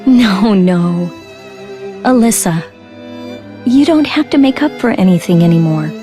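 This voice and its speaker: A woman voicing a caring, older-sister female soldier in an English game dub, with a reassuring, steady manner. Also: mid-range voice, unhurried, faint music behind, natural voice.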